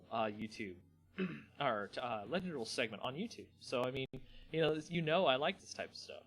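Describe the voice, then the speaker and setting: A man hosting a live stream in a casual, slangy, rambling way, with halting pauses. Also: medium pitch, fairly bright tone, poor audio. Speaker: host of a card game channel. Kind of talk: casual live stream chat, then dramatic story reading.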